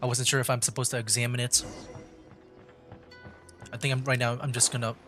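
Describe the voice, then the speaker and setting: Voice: medium pitch, flat delivery, fairly quick. A streamer giving casual livestream commentary while playing a game, thinking aloud in a conversational way. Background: game music.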